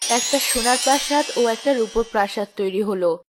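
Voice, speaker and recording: A female narrator tells a children's tale from a script. Music plays clearly behind her voice. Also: fairly high pitch, fairly quick, poor audio.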